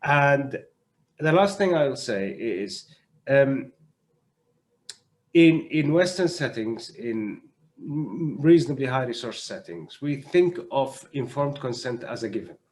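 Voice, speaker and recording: A male doctor speaking as a panelist in an online discussion, explaining in a measured, halting way and often repeating words as he goes. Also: mid-range voice, expressive, a little mellow. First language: not French (French is third language). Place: online video conference.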